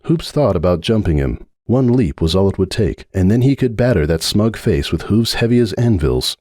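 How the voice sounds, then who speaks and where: A man narrates a dramatic fan-story reading in a very low, mellow voice. His pacing is measured and brooding. The recording is dry and close, with no room echo. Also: animated. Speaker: audiobook narrator.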